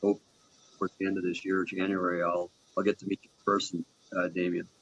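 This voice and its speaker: A man in his sixties, a tax partner, giving a halting, filler-heavy talk over a video call. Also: sits low, completely flat delivery, fairly bright tone, breathy edge. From Argentina, raised in Canada. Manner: halting, many fillers and restarts. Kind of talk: informal presentation on a video call. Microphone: video call microphone. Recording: dull lo-fi call audio.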